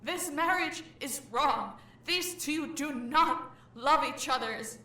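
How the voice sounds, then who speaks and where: Woman acting a high, desperate young lover. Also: slow, bright, forceful tone, heavily acted. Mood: defiant. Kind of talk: audio roleplay drama acting. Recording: echoey hall.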